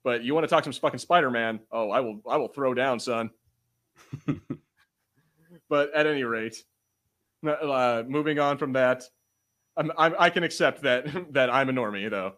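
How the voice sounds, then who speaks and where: A male podcast host in relaxed conversation. He talks informally, leaning on slang and the occasional swear word. The delivery is off-the-cuff banter between co-hosts. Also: medium pitch, normal speed, long pauses.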